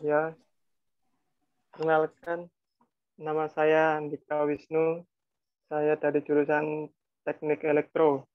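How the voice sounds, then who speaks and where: A man's voice: on the high side, monotone, slow, pauses often, smooth, no room sound. A young adult man, a university student, giving a plain self-introduction. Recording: muffled online-call audio.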